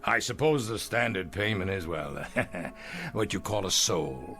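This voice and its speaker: An actor playing a shrewd, restless, boastful old tycoon in 1960s television drama, with theatrical, emphatic delivery. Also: male voice, fairly low pitch, big pitch swings, bright, forceful tone, full character voice. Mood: shrewd, bargaining. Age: older. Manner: theatrical, blustering, chuckling, halting then emphatic. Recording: old TV soundtrack with background music.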